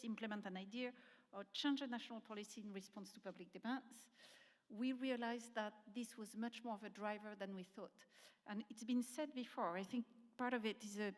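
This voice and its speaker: A woman speaking as a panelist at a conference, in a mid-range voice. She answers in a measured way, often pausing on fillers and breaking off words to restart them. A long reverb from a large hall trails behind her. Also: medium pitch. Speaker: panelist from an international policy organisation. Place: conference centre session room.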